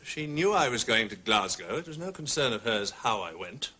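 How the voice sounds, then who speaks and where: An actor playing an indignant, blustering man under questioning in a radio drama, with a husky voice. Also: medium pitch, sing-song, normal speed, bright, forceful tone, heavily acted. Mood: defensive, recounting. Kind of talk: British radio drama acting.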